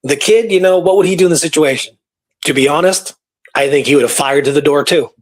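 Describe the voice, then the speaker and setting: Higher-voiced man chatting about history. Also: quick pace. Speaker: radio show co-host. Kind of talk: casual history discussion.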